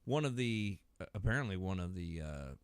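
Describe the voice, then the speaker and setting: Male podcast co-host, smooth low voice, dry close sound, rambling candid conversational delivery. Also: lively intonation, brisk, dry recording. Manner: rambling, candid, often breaking off mid-thought. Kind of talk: casual chat.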